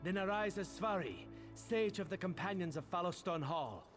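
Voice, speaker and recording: An actor playing a solemn Nord warrior leader in a fantasy game, speaking with formal ceremony. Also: male voice, sits high, lively intonation, moderate pace. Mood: solemn, ceremonial. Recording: game music loud behind the voice.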